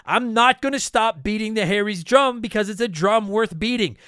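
A man's voice: high voice, moderate pace, a bit bright, clean tone, no echo, clean studio sound. A man reading a host-read sponsor ad for his podcast, emphatic and salesy.

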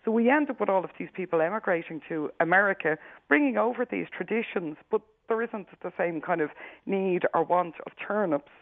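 A woman with an Irish accent, a professor being interviewed on the radio, explaining at length in a measured way with frequent restarts. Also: mid-range voice, lively intonation, no echo. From Ireland. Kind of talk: expert explaining on a radio call-in. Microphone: telephone. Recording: tinny phone line, dry.

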